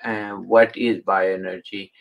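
A man hosting an interview over a video call, asking halting questions with fillers. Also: sits low, flat delivery, creaky, highs cut off. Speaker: host.

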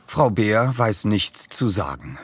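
A man's voice: on the low side, very animated, takes it slowly, slightly rough, heavily acted. A man narrating a children's detective audio play as a scripted storyteller.

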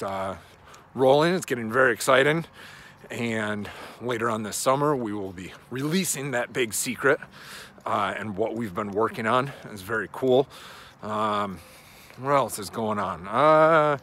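A man's voice: medium pitch, fairly bright tone. A man in his thirties, a coach, rambling casually and thinking aloud as he walks outdoors, talking into a handheld phone. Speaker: strength and conditioning coach. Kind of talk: casual walking vlog monologue. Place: outdoors on a suburban residential street. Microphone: phone held at arm's length.

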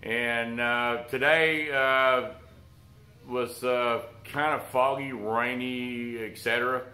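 An older man with a scratchy voice, under the weather with flu, giving a halting, folksy how-to talk to camera in his workshop. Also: mid-range voice, steady tone, pushed and bright. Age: older, around 60s. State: unwell with flu. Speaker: host of a workshop restoration vlog. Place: cluttered workshop, indoors. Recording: roomy workshop echo.

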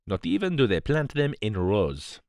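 A man voicing a fussy, fastidious Belgian detective with a French-speaking Belgian accent and a declamatory manner, in an audiobook story. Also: fairly low pitch, very animated, darkish tone, no room sound, studio quality. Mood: disdainful. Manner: fussy, declamatory, foreign turns of phrase.

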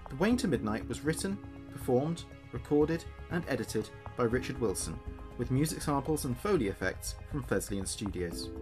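A man delivers the scripted closing credits of an audio story. His voice sits at a middle pitch, with music playing behind it. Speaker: writer and performer reading end credits. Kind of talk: scripted outro narration.

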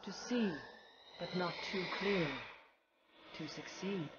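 Slow, low-voiced mystical spirit woman. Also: lo-fi sound, heavy background noise. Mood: solemn, cryptic. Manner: slow, portentous, halting phrases. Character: mysterious fading female spirit seer, solemn and cryptic. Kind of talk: video game voice acting.

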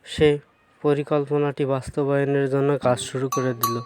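A man narrating a scripted cartoon fairy tale in a measured storytelling manner. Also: medium pitch, little pitch movement, a little mellow, faint music behind.

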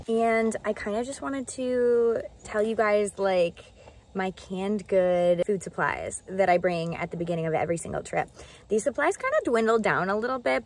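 A young woman in her twenties, chatting casually and upbeat as a vlogger, filming herself on a phone at arm's length from a car and then outdoors. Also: mid-range voice. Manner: chatty, upbeat, lots of "like" fillers. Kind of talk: casual vlog chat.

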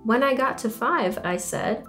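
Young woman, higher-pitched storyteller. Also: a little slow, soft background music. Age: young adult. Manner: animated, clearly enunciated storytelling with questions to young listeners. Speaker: children's story time host and reader. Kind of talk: reading picture stories and poems aloud to children. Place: small indoor room at home.

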